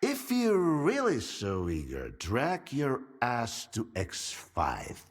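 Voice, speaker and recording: An actor playing a boozy, sardonic older scientist in a video game, theatrical and philosophising, with a husky, gravelly voice. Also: male voice, medium pitch, wildly swooping pitch, slow pace, in a large hall, heavily acted. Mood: sardonic, rambling. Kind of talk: video game cutscene acting.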